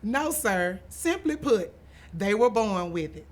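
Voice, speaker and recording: A woman voicing a patient mother in a children's story, polite, measured and matter-of-fact. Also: medium pitch, very animated, darkish tone. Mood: politely firm. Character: patient, polite, firm mother of two girls.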